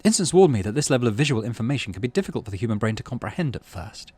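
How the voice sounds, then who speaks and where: A man's voice: medium pitch, sing-song, racing, a little mellow, slightly rough, no echo. A man voicing an earnest, stoic teenage girl scientist in a visual novel, with precise, formal speech. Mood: strained, confessing. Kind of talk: reading visual novel dialogue aloud.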